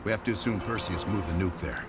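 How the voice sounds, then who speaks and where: An actor playing a terse, resolute covert agent briefing a team in a video game cutscene. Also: male voice, deep voice, fast, over loud music, close to an everyday voice. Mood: terse, urgent.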